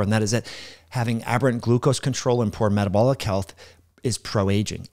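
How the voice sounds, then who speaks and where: A man in his forties hosting a health channel, explaining a study to camera in a brisk, earnest way and listing points, on a close studio mic at home. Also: on the low side, darkish tone. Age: 40s. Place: indoor home room. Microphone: studio mic on boom arm, close.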